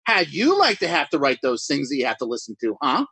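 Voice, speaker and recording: A man's voice: on the high side, wildly swooping pitch, fairly quick, creaky, bright, forceful tone, slightly rough, dry recording, exaggerated voice. A man playing a jumpy writer alone at night, rambling and mocking himself in 1940s radio acting style. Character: jittery lone male writer talking to himself.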